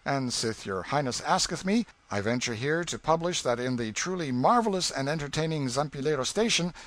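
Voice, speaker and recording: A man's voice: on the high side, lively intonation, brisk, fairly bright tone, pitched above the performer's own voice. Man playing a florid strolling showman. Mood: grandiose. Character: boastful, courtly male leader of strolling acrobat players.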